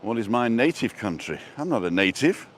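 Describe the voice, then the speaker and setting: A man's voice: medium pitch, very animated, normal speed. A man from England with a British accent, chatting casually and jokily as he hosts a rambling live walking tour and answers viewers. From born in England, lived in Wales and abroad. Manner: rambling, jokey. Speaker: travel vlogger and livestream host. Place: outdoors, walking along a seaside promenade. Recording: noisy outdoor street and seafront ambience.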